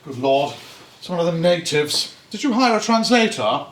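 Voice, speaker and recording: An actor playing a posh gentleman sidekick in a comic radio double act. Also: a little high, sing-song, normal speed, a little hiss or hum. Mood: eager, pompous. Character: spiffing posh English gentleman sidekick, Bertie. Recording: echoey theatre stage.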